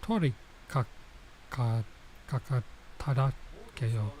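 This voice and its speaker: A man's voice: medium pitch, slow, darkish tone, slight background noise, poor audio. A man doing a let's play, reading visual novel text aloud and adding casual, off-the-cuff comments, sometimes stumbling over lines.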